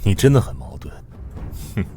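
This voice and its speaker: An actor playing a cold, possessive young crime heir in an animated drama, speaking with controlled, cool insistence. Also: male voice, normal speed, soft background music, close to an everyday voice. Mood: cold, mocking.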